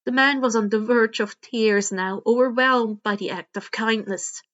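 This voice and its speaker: Woman, fairly high voice, scripted story narration, occasional stumbles, muffled lo-fi sound. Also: fairly even intonation, a little slow, fairly bright tone. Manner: stumbles over words and rereads phrases. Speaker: fan fiction narrator. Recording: dull, muffled lo-fi home recording.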